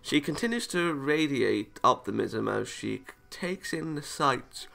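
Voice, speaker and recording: A man's voice: medium pitch, lively intonation, measured pace, gravelly, sharp tone. A man reading a visual novel aloud as a let's player, stumbling over lines and reacting playfully with casual asides. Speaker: video game let's player.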